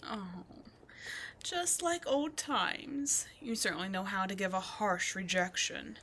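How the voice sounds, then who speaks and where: A woman voicing a seductive, scheming female demon queen in an audio drama, teasing and taunting, dropping into breathy whispers. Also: medium pitch, slow, pushed and bright, a little hiss or hum.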